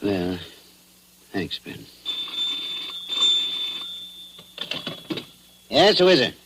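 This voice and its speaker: Wry, animated small-town police chief. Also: male voice, fairly low pitch, slow pace, full character voice. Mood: brisk. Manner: wry, plain-spoken, colloquial questioning. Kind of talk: 1940s radio crime drama acting. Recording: noisy vintage 1940s radio recording.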